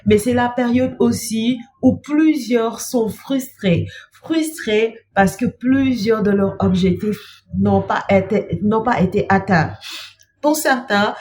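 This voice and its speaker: Slow-speaking woman with a cold. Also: on the high side, a little hiss or hum. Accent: French. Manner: slow, gentle, repeats "bien-aimé" and pauses with "euh". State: has a cold. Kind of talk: devotional talk to camera.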